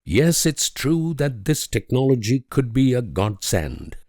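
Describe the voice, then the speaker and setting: An actor playing a grave, idealistic and world-weary political leader in a strategy game, speaking in measured, solemn oratory with dramatic pauses. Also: male voice, medium pitch, big pitch swings, a little mellow, no echo, clean studio sound. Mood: earnest, solemn.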